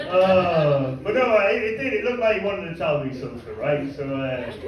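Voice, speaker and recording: A man's voice: a little high, lo-fi sound. Crude stand-up man in an echoey club. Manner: crude, deadpan punchlines with halting asides to the crowd. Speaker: stand-up comedian. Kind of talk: stand-up comedy set. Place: comedy club stage. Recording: echoey club miked from a distance. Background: audience laughter.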